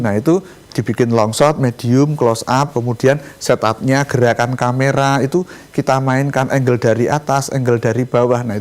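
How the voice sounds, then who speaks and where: A man with a Javanese accent walks colleagues through a presentation on a video call. His voice sits at an ordinary middle pitch, and he explains things step by step. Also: a little mellow. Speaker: presenter of a training session. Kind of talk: online meeting presentation.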